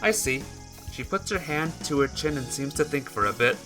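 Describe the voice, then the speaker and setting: A man live-streaming a visual novel, reading the text aloud and breaking off for casual, halting asides to his viewers. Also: on the high side, animated. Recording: music behind the voice.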